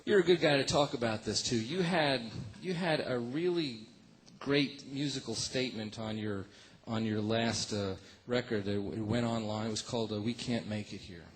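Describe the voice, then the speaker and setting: A man's voice: mid-range voice, normal speed, few pauses, husky. A man hosting a radio show, interviewing a musician in a loose, conversational way, with many fillers and restarts.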